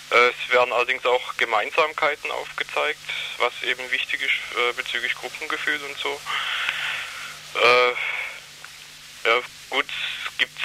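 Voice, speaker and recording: A man's voice: medium pitch, sharp tone, a little hiss or hum, low-quality recording. A man answering radio interview questions as a youth worker, hesitant with many fillers and restarts.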